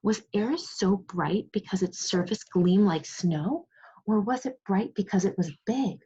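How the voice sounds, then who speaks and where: A woman teacher reading a storybook aloud to young children in an online lesson, expressive, pausing to prompt and praise her pupils. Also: medium pitch, husky. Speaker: second grade teacher. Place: remote video class. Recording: muffled, lo-fi online class audio.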